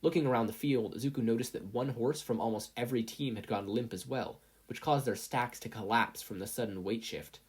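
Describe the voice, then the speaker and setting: A woman's voice: extremely low pitch, a little fast, few pauses, darkish tone, breathy edge. A woman narrating a scripted fan-fiction story as a podfic reader.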